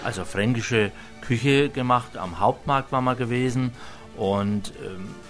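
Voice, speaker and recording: A man's voice: medium pitch, unhurried, fairly bright tone, soft background music. A chef from Franconia with a Franconian accent explains his cooking in a homely, rambling way with many hesitations. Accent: Franconian German. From Franconia, Germany. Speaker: restaurant chef. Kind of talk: cooking demonstration chat.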